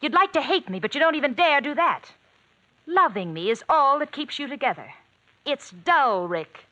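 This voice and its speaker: A bored thrill-seeking wife in an old radio mystery, teasing n cold, really lively bright voice. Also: female voice, sits high, normal speed, no echo, dull audio, exaggerated voice. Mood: scornful, goading. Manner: teasing, coolly provocative.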